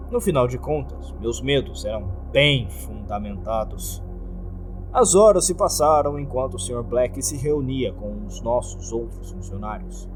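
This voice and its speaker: Male horror narrator, Brazilian accent, lively intonation, scripted first-person storytelling over a music bed. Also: mid-range voice. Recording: music behind the voice.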